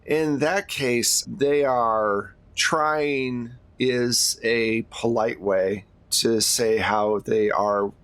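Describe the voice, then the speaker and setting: A man's voice: mid-range voice, fairly even intonation, extremely slow, few pauses, fairly bright tone, dry recording. A man playing a reserved, weary middle-aged school administrator in a tabletop game, choosing his words carefully and hesitantly. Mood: guarded, exasperated.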